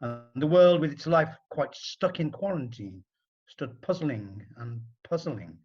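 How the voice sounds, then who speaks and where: A man narrating a rhyming story in an expressive, dramatic storybook style with deliberate phrasing. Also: on the high side, highs cut off.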